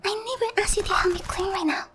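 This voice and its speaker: A woman playing a lonely, sweet and softly possessive young witch girl in an ASMR roleplay, breathy and gentle. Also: extremely high pitch, slight background noise. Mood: startled, stern. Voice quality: soft, breathy, whispery. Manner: slow, gentle, coaxing.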